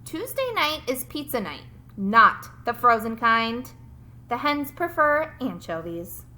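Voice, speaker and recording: A woman reading out the notes of a sly, demanding trickster duck in a children's picture book, emphatic and deliberate. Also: a little high, sing-song, bright, forceful tone, exaggerated voice.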